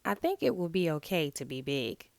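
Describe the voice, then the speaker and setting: A woman voicing a stubborn, childlike little frog in a picture-book read-aloud, protesting with drawn-out emphasis. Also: deep voice, big pitch swings, creaky, dry recording, full character voice. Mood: relenting.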